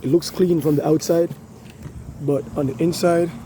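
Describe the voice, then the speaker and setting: A hoarse man who has lost his voice, casually explaining an air conditioner cleaning while he works outdoors. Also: on the high side, steady tone, moderate pace, noisy background. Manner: loose, repetitive, talks while working. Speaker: HVAC technician. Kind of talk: casual how-to demonstration.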